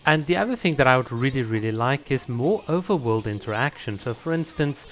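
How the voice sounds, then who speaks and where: A man's voice: medium pitch, expressive, fairly quick. A man talking casually to viewers as a video creator, explaining his opinions and laying out ideas with examples.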